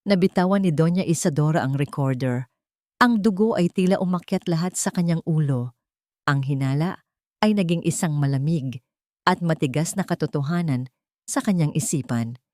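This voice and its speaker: A woman's voice: deep voice, normal speed, darkish tone, dry recording, clean studio sound. A woman with a Filipino accent reads a dramatic scripted love story as its narrator.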